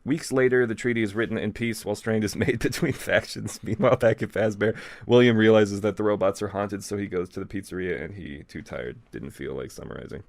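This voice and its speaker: A man's voice: fairly low pitch, brisk, creaky, hardly any pauses, no echo. A man co-hosting, reading fan fiction aloud and breaking off to comment casually.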